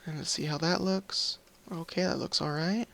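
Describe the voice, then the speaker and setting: A man's voice: a little high, steady tone, normal speed, gravelly, a bit bright. A man with a tired, slightly scratchy voice giving casual, rambling, apologetic video game commentary, thinking aloud as he plays. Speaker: host of a gaming video series. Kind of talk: casual Minecraft let's play commentary.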